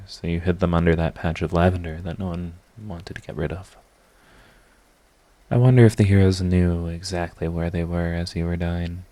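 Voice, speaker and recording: A man plays a sardonic supervillain with a low-pitched voice in an intimate audio drama. He is theatrical and sarcastic, yet his halting delivery betrays a secret devotion to his rival. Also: dark tone, lightly acted. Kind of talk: intimate scripted audio roleplay monologue.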